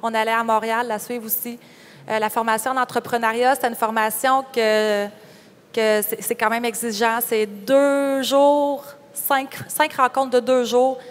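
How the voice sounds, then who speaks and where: A woman's voice: fairly high pitch, level tone, normal speed, smooth. A young woman with a Quebec French accent giving a candid, informal personal account as a conference panelist. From Quebec, Canada. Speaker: panelist, farm entrepreneur. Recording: reverberant hall sound.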